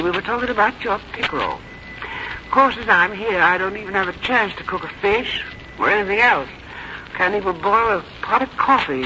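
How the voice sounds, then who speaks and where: A woman's voice: mid-range voice, natural voice. An actress playing a stubborn, plain-spoken elderly American mother with a blunt, folksy, complaining manner in a 1950s radio drama. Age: older. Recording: noisy, muffled 1951 radio recording.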